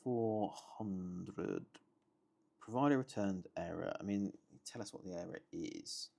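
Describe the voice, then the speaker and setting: Deep gravelly guy mumbling to himself while coding, kinda half sentences. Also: takes it slowly, dark tone. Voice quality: low, gravelly voice. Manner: muttering, half-finished remarks to himself. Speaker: solo coding streamer. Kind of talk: thinking aloud while coding.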